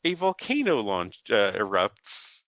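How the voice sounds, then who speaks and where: A man's voice: fairly low pitch, wildly swooping pitch, slow pace, a bit bright, no echo, muffled recording. A man co-hosting a podcast, summarizing a film plot in casual chat, with many fillers and restarts. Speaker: podcast co-host.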